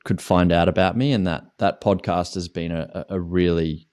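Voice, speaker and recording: Deep-voiced Australian man, rambling. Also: steady tone, normal speed, a little mellow. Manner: rambling, many restarts and fillers. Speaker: online ski coach. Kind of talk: casual interview answers.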